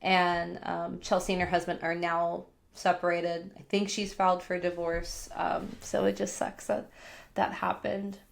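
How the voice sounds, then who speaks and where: A woman's voice: fairly low pitch, little pitch movement, unhurried, gravelly. A woman hosting a podcast, recapping pop culture in a casual, gossipy way. She fills her talk with "like" and sounds congested from a cold. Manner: chatty, heavy use of "like", gossipy retelling. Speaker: podcast host.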